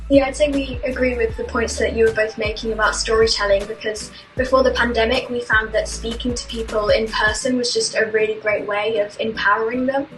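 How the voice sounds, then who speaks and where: Higher-voiced young charity founder. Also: female voice, fairly even intonation, brisk, hardly any pauses, a bit bright, faint music behind. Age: young adult. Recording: roomy echo.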